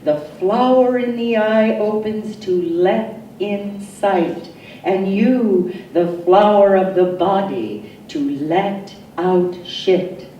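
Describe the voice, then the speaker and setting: A woman poet giving an expressive poetry reading, with deliberate, dramatic phrasing and pauses. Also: medium pitch, highs cut off. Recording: roomy echo, distant mic, faint noise.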